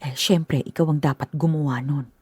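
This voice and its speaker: A woman's voice: low voice, fairly even intonation, moderate pace, darkish tone, husky, dry recording. Weary complaining mother. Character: tired, complaining middle-aged mother. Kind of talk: Filipino radio drama acting.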